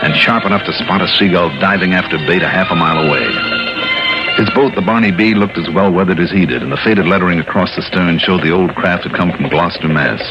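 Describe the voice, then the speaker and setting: An actor playing a wry American insurance investigator in a vintage radio detective drama. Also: male voice, low-pitched. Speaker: radio drama lead and narrator. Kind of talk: 1950s-60s American radio detective acting. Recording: dull vintage radio recording.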